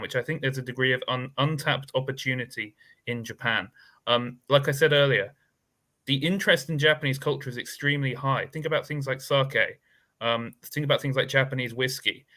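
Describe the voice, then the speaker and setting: A man's voice: medium pitch, pushed and bright. A man speaking as a guest expert on a discussion panel, thoughtful and hedging, with many fillers and restarts.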